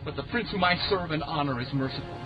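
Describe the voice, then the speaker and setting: A man plays a humble but steadfast pilgrim in an audio drama. His high male voice declaims old-fashioned English with earnest conviction over loud music. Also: fast talker, dull audio, natural voice.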